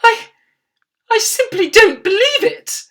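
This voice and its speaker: A woman's voice: very high voice, sing-song, takes it slowly, a bit bright, distant mic, voice pushed up, heavily acted. A woman voicing an elderly, upper-class queen who is refined and easily alarmed, speaking in a posh, exclaiming manner. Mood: stunned.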